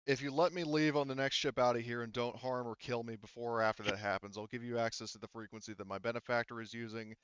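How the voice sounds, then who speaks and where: Man playing stoic criminal prisoner, blunt, halting, clear lowish voice, tabletop game, lo-fi dry sound. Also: fairly even intonation, fairly bright tone, no echo, close to an everyday voice. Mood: blunt, bargaining. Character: stoic captured male henchman leader bargaining coolly.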